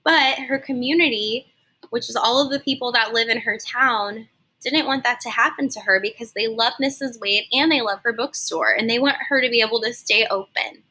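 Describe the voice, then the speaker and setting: Woman, children's read-aloud, teacher, slightly high pitch, very bright tone, animated, simple explanatory delivery. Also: normal speed, rough recording. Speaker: teacher reading a picture book aloud.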